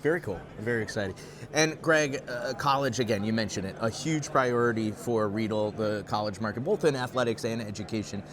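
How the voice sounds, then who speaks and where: A man's voice: on the low side, fairly quick. Guy doing upbeat interviews at a trade event, crowd chatter behind. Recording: busy crowd noise behind. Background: convention crowd chatter.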